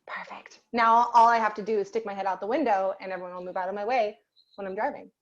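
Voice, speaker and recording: A woman's voice: mid-range voice, fairly even intonation, fast talker. A young adult woman teaching an online singing class to children, chatty and encouraging with many fillers, heard over a video call. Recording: dull lo-fi video call audio.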